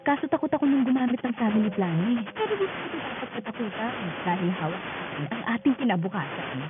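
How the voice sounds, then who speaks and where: A woman's voice: fairly high pitch, expressive, quick pace, heavy background noise, natural voice. A woman with a Filipino accent playing an earnest young mother in a scripted radio health testimonial. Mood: earnest, resolved. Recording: tinny radio aircheck under loud music.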